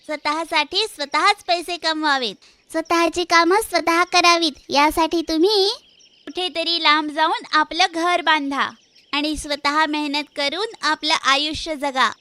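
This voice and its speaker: A woman's voice: high-pitched, bright, forceful tone. A woman playing a caring but firm mother pig in a children's cartoon story, instructing earnestly.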